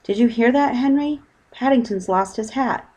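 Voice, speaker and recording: A woman's voice: fairly high pitch, moderate pace, darkish tone, pitched above the performer's own voice, lightly acted, low-quality recording. A woman voicing an anxious, scolding wife and mother from a storybook, fretful and exclaiming.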